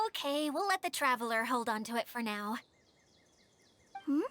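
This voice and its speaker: A woman's voice: fairly high pitch, lively intonation, measured pace, fairly bright tone, no room sound. A woman voicing a small, childlike fairy companion in a video game, with a bubbly, exclaiming manner. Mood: excited. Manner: bubbly, exclaiming, refers to self by name.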